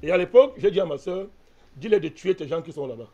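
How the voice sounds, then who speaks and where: A man's voice: high voice, lively intonation, fast talker, warm tone, husky, muffled recording. A man from Cameroon, a panelist in a heated political debate, arguing insistently and keeps speaking to the host by name. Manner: insistent, argumentative, repeats a direct address to the host.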